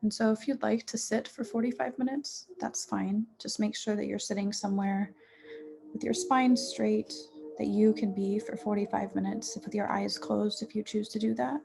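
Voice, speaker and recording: A woman teaching yoga nidra, guiding a meditation in a soothing, unhurried manner with pauses between short phrases. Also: mid-range voice, steady tone, creaky. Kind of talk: guided meditation. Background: music.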